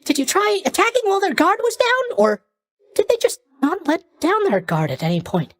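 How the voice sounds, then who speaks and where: A man's voice: very high-pitched, big pitch swings, moderate pace, fairly bright tone, dry recording, exaggerated voice. A man playing a cheery, oblivious young god of sleep in a video game, chatty and blithely tactless in his mock sympathy. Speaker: video game character voice actor. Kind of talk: video game character voice lines.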